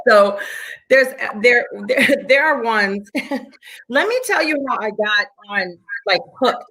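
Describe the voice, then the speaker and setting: An upbeat woman in her late forties talks in a casual, chatty way about her work as a fitness instructor. She comes back to her points again and again for emphasis, and her pitch sits in a mid range. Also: pushed and bright. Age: 40s. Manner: enthusiastic, chatty, repeats herself for emphasis. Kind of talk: casual chat.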